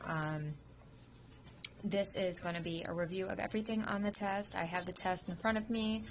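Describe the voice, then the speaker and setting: A sick woman teacher with a slightly low voice talks through a test review into her computer microphone. She sniffles now and then and explains in a loose, informal way, with plenty of ums. Faint room noise sits behind her. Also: rough recording. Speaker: radiography instructor. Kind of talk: recorded test review lecture over slides.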